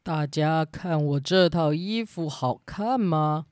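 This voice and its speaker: A woman voicing a vain, amused king in a children's story, with a pompous, drawn-out delivery. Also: low voice, darkish tone, no room sound, studio quality. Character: grand, amused, vain old-fashioned king, adult man.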